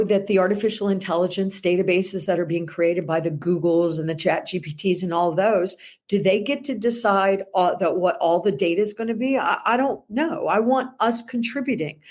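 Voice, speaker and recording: A woman co-hosting a discussion show, talking in long, animated run-on thoughts. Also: medium pitch. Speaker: podcast co-host. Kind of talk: casual discussion.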